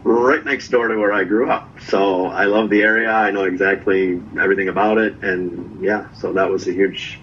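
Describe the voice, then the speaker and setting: A man from Norway, telling his story as a guest in a relaxed, casual chat and tagging his lines with "right?". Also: deep voice, moderate pace, hardly any pauses, lo-fi sound, slight background noise. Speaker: guest vlogger.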